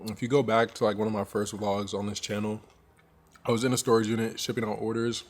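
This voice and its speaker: A young man of about twenty narrates his workday for his viewers. His voice sits low and stays fairly even in pitch, and his delivery is relaxed and candid. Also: fast. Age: 20s. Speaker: clothing brand owner. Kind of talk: casual vlog narration.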